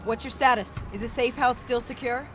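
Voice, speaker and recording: A woman's voice: a little high, normal speed, over music, natural voice. An actress playing a determined, loyal female soldier in a video game cutscene, urgent and resolute.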